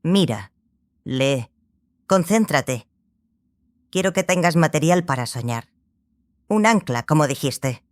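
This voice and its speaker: A stern, scholarly sorceress tells her story in a fantasy audiobook. She is grave and obsessive, with a measured delivery and deliberate pauses. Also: sits low, animated. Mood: instructive. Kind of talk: dramatized audiobook dialogue.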